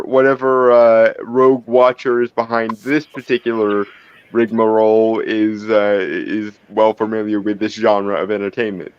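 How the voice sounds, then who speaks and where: A man's voice: medium pitch, smooth, dry recording, dull audio. A man playing a tabletop roleplay game, talking casually in a wordy, argumentative way. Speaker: roleplay player.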